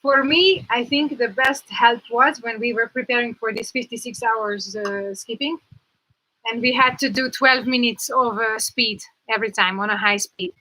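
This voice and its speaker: A woman's voice: a little high, steady tone, normal speed, bright, forceful tone, low-quality recording. A woman with a Hungarian accent, a jump rope instructor leading a live online class, upbeat and coaching, laughing often over a video call.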